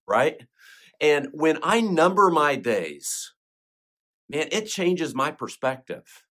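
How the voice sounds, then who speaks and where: Male podcast host and pastor, mid-pitched, reflective, sermon-like monologue with rhetorical questions. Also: a bit bright.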